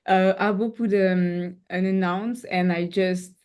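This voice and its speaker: A young woman whose first language is Creole, a cinematographer guest speaking English haltingly and searching for words. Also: medium pitch, steady tone, a little mellow, smooth. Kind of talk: casual interview talk.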